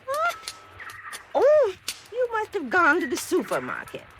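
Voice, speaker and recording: Sly female crook for 1950s radio crime drama; sugary, threatening, animated pitch, noisy old recording. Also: unhurried, exaggerated voice. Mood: falsely sweet. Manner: mocking, syrupy, then coldly threatening. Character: ruthless woman smuggler with a sugary false sweetness.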